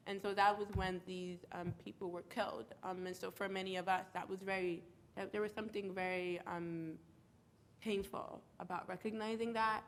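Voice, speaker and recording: A woman's voice: mid-range voice, little pitch movement, normal speed, a little hiss or hum. Stuffy-nosed woman speaking on a panel. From United States (the Bronx, New York). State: stuffy from a cold. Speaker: panelist, community organizer. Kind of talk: panel talk.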